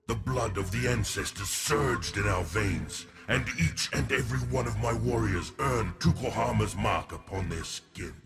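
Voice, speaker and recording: Heavily gravelled proud warlord. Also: male voice, lowish voice, heavily acted. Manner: solemn, portentous. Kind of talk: solemn first-person monologue for a game. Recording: prominent music under the voice. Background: music.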